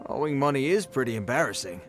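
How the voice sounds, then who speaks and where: A man acts a jittery, deferential bandit underling for a fantasy video game. He is hesitant and eager to appease, his pitch jumping about, with faint music behind. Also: animated, fast talker, natural voice. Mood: puzzled, uneasy.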